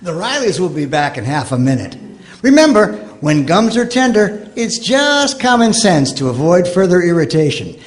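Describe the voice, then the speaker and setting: A man's voice: high-pitched, exaggerated voice. A man playing a polished network announcer in 1940s radio style, reading scripted adverts and credits. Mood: selling. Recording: prominent music under the voice. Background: music.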